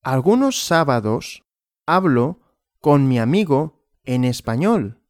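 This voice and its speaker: Very slow, deliberate male Spanish teacher. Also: mid-range voice, big pitch swings, a little mellow, dry recording, studio quality. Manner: very slow, deliberate, repeating and asking himself questions. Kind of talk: slow graded language lesson with questions and answers.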